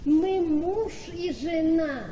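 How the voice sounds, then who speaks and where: Very high-pitched, gushing young wife. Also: female voice, drawn-out words, warm tone, a little hiss or hum, heavily acted. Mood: blissfully confident. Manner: effusive, exclamatory. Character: gushing, naive, trusting young married woman in love. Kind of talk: theatrical radio play acting. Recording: echoey reverberant hall, crowd sounds behind.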